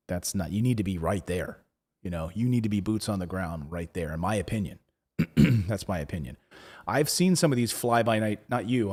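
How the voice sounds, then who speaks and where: A man hosting a live investing show, chatting casually, rambling with lots of fillers and restarts, his voice going hoarse. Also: fairly low pitch, a little mellow. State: losing his voice. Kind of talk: casual live-stream chat, reading viewer comments.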